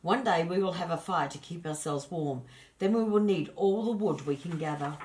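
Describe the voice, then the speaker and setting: Storybook role: male Great Spirit, patient creator, calm and assured, reassuring delivery read by a woman. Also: on the low side, normal speed, a little hiss or hum, natural voice.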